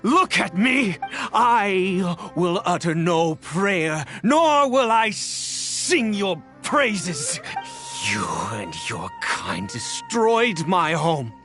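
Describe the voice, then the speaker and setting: A man's voice: high-pitched, very animated, very slow, a bit bright, faint music behind, exaggerated voice. An actor playing a weary, grieving immortal father in a video game, with theatrical and hesitant delivery that swings between murmurs and anguished outbursts. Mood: anguished, pleading.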